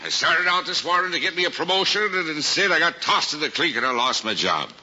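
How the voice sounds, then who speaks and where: Animated American cabbie, old film sound. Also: male voice, on the high side, fast talker, exaggerated voice. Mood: contrite, flustered. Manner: folksy, excitable, stammering when flustered. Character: big-hearted, bumbling working-class cab driver, married man. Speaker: actor in a filmed drama. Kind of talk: mid-century American screen comedy-drama acting. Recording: muffled old film soundtrack, clear hiss.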